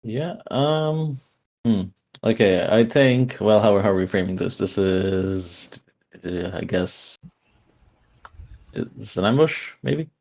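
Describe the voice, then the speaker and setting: A man's voice: fairly low pitch, expressive, takes it slowly, gravelly, pauses often, a little mellow. A man chatting casually as a player in a tabletop role-playing game, thinking aloud hesitantly.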